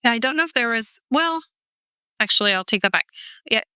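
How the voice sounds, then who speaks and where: A woman's voice: on the high side, expressive, fairly quick, pushed and bright, smooth, no echo, studio quality. A woman in her forties from California, a podcast guest telling her story in a chatty, rambling way with many fillers. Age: 40s. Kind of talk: casual interview storytelling.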